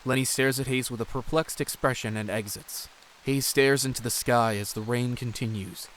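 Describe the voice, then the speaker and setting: A man's voice: mid-range voice, fairly even intonation, normal speed, fairly bright tone, a little hiss or hum, rough recording. A man narrating an audio drama in scripted, present-tense prose.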